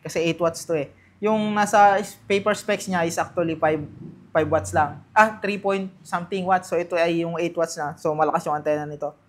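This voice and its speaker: Higher-voiced Filipino man, casual vlogger. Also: steady tone. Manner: halting, thinking aloud while handling items. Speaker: vlogger presenting product unboxing. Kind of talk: casual unscripted unboxing commentary.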